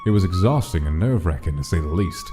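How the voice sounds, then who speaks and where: A man's voice: low-pitched, animated, fast talker, over loud music. A man reading scripted, dramatic story narration for a comic dub.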